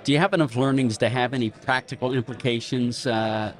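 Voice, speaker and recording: A man's voice: mid-range voice, fairly even intonation, a little fast. Easygoing man hosting interviews. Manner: easygoing, brief responses. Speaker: interviewer host. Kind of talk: casual interview.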